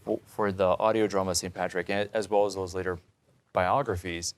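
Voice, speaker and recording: Low-voiced male talk show host. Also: fairly even intonation, brisk. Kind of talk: conversational interview hosting and commentary.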